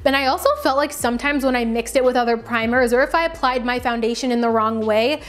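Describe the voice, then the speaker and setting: A woman with a fairly high voice talks casually to camera as a beauty vlogger. She reviews products in a chatty, conversational way and leans on words for emphasis. Her voice carries a slight raspy tiredness from a long stretch of talking. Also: steady tone, fast.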